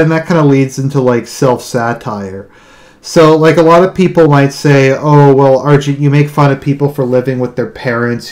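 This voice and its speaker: A man with a deadpan delivery speaks casually in a solo monologue, often repeating words and restarting his sentences. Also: medium pitch. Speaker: online video commentator. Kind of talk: casual monologue explaining own humour.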